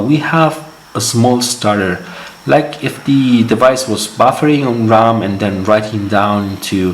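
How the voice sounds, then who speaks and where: A man who is not a native English speaker gives an unscripted hands-on gadget review, halting and wordy, often repeating words as he goes. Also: mid-range voice. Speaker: tech reviewer, host of a gadget channel. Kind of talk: hands-on product demo, unscripted. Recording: echoey room, faint background noise.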